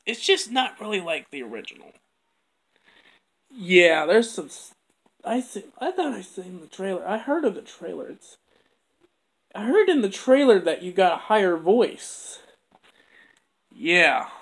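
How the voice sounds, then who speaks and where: A home video maker talking through plush toys in a quiet, halting, mumbled way. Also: mid-range voice, sing-song. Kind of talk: plush toy skit with puppet dialogue. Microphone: camera on a bedroom floor.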